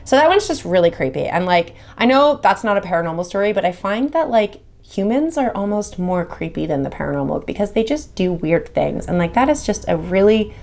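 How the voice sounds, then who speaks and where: A woman's voice: medium pitch, sing-song, a little fast, gravelly, few pauses, darkish tone. A woman reading horror stories aloud, with casual chatty asides between them. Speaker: horror story reader.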